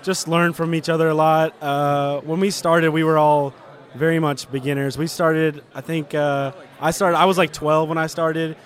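A man's voice: on the high side, steady tone, moderate pace. An eighteen-year-old man, rambling in a friendly way about his band with lots of uhs. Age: teens. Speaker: band member, guest. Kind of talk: casual interview chat.

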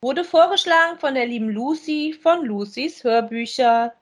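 A woman's voice: sits high, normal speed. A woman, co-hosting a podcast and chatting casually as she gives a review. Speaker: podcast co-host.

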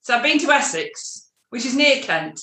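Middle-aged woman, mixed northern English accent, bright forceful tone, casual chat in a roomy echo. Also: high-pitched, very animated, normal speed. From Cheshire, England.

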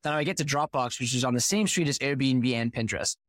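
A man in his thirties who grew up in Boston, a podcast guest and company founder telling his story in a fluent, animated way with rhetorical questions. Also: medium pitch, quick pace, no echo. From United States (Boston). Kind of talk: casual interview storytelling.

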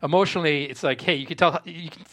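A man giving a casual interview as a guest writer, halting and doubling back on his words. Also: a little high, fairly even intonation, sharp tone. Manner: halting, repeats words and backtracks. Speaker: guest screenwriter.

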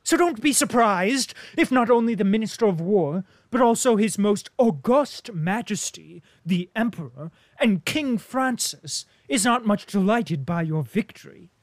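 A man acting out a witty, mocking diplomat in an audiobook, needling his guest with ironic emphasis. His voice sits high and the reading is unhurried. The sound is dry and close. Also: expressive, no room sound. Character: sardonic witty male diplomat, mocking and urbane.

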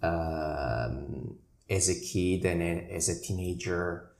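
A man from northern Italy, a painter answering interview questions in a relaxed way. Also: deep voice, slow, creaky. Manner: relaxed, recalls his past with fillers and restarts. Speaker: guest painter. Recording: echoey room with faint noise.

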